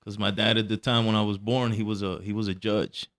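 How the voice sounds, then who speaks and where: A young man from Puerto Rico, a pro wrestler guest, chatting casually in relaxed street slang and often trailing off. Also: fairly low pitch, brisk. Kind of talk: casual podcast chat.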